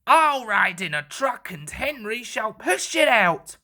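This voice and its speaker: A no-nonsense storybook foreman read by a woman, a practical man in charge. The delivery is brisk and dismissive, with a bright, forceful edge. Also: fairly high pitch, very animated, heavily acted.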